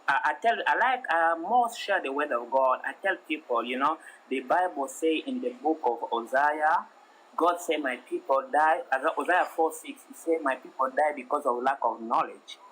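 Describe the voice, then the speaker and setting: A man with a French-speaking African accent calls into a live debate stream, speaking earnestly and haltingly as he argues for belief in God. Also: fairly high pitch, animated, a bit bright, a little hiss or hum, low-quality recording. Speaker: caller.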